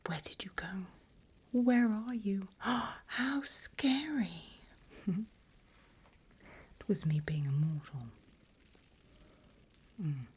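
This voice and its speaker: A woman plays a seasoned female demon guiding a pupil in an ASMR roleplay. Her voice is a very breathy, low whisper, unhurried and deliberate. She is vain, teasing and darkly playful. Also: exaggerated voice. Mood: playful, mocking. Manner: slow, teasing, deliberate, hushed. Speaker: demon guide training a newcomer.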